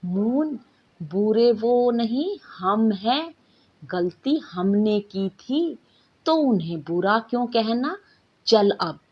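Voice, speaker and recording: A woman's voice: a little high, expressive, very slow, breathy edge, highs cut off, full character voice. A woman voicing an innocent but firm young girl who studies magic in a storybook tale. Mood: earnest.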